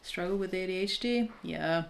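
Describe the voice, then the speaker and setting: Candid woman in her thirties, low-toned. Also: normal speed, creaky, slight background noise. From Norway. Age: 30s. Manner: thoughtful, candid, self-deprecating with frequent restarts. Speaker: crafting streamer chatting with viewers. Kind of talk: casual live chat.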